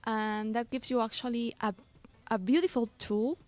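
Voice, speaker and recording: A woman's voice: fairly high pitch, normal speed, darkish tone, dry recording. A woman from Peru with a Peruvian Spanish accent, interviewing a guest in a studio podcast and laughing often as she talks. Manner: laughs often, talks in long winding sentences. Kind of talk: conversational interview. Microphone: studio mic.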